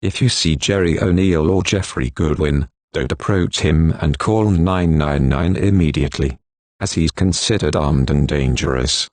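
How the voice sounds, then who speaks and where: A low-pitched man delivers a formal emergency alert. His delivery is flat and stilted, with a synthetic feel. The sound is dry and free of room echo. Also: moderate pace. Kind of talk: scripted emergency broadcast announcement.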